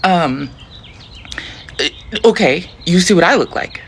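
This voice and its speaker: A woman's voice: on the low side, expressive, takes it slowly, a little hiss or hum. Rambling American woman ranting on a vlog. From United States. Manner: rambling, lots of fillers and restarts, incredulous. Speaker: social media commentator. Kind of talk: casual vlog rant.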